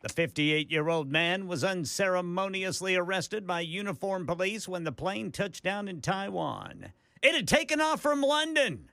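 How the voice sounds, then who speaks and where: Male talk radio co-host, high bright voice, dry studio sound. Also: moderate pace, vocal fry, no echo. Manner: animated, puts on voices for quotes. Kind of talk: reading news stories on talk radio.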